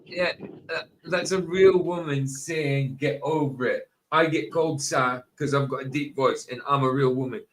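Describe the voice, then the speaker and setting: A man's voice: mid-range voice, moderate pace, slight background noise, low-quality recording. Laughing man reacting on a podcast. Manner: laughs often, animated reactions. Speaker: podcast host. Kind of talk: casual podcast chat.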